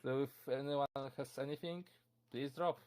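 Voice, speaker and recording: A man's voice: medium pitch, steady tone, normal speed, darkish tone. A young man with a Polish accent giving a casual streamed talk, answering chat questions with many fillers, restarts and frequent chuckles. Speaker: convention presenter.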